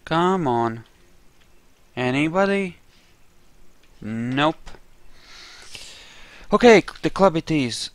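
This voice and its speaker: A man's voice: on the high side, clear, resonant tone, no room sound. A man giving casual game commentary, chatty and thinking aloud as he plays. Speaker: gamer narrating a playthrough.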